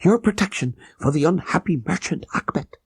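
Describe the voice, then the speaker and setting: A man's voice: a little high, lively intonation, moderate pace, husky, no echo, voice pushed up. A man voicing a frightened, plump little merchant, pleading and panting, in an audiobook. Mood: pleading, breathless.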